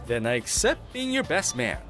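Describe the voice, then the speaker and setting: A man's voice: mid-range voice, big pitch swings, moderate pace, a bit bright, faint music behind. A man acting a grumpy, lovelorn young man in an audio drama, with a dramatic, grumbling delivery. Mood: dry.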